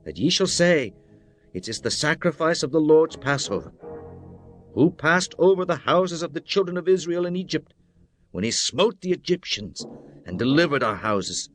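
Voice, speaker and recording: A man's voice: a little high, big pitch swings, normal speed. A man playing a commanding prophet who addresses his elders in a solemn, declamatory way, in a dramatised scripture reading. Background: music.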